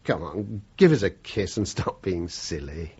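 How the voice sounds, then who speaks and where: An actor playing a kindly, plain-spoken, middle-aged working-class British chauffeur in a radio play, with a husky voice. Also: male voice, low voice, a little slow, darkish tone, exaggerated voice. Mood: upset, pleading. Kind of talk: British radio drama acting. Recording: slightly muffled radio play recording.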